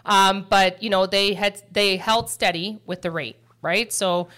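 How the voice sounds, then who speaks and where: A woman's voice: medium pitch, measured pace. A middle-aged woman hosting a mortgage podcast, chatting casually and telling stories with lots of fillers. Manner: chatty, animated storytelling with frequent fillers. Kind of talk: casual chat.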